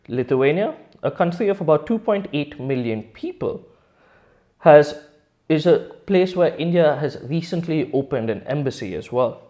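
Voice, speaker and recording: A man with a mellow, dark voice hosts a news interview. He reads a formal introduction, then asks his questions with some hesitation and repeated fillers. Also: fairly high pitch, lively intonation, moderate pace. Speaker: interviewer, host.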